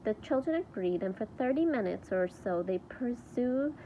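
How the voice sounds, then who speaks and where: Higher-voiced woman reading a storybook, noisy recording. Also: animated, moderate pace, warm tone, dull audio. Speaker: teacher reading a children's book aloud. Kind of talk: read-aloud storybook narration with character voices.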